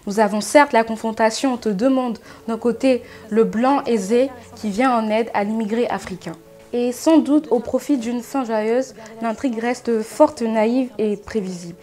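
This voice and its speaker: A young woman presenting a film review in a student news segment, reading a prepared script in a measured way. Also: a little high, warm tone. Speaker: culture columnist.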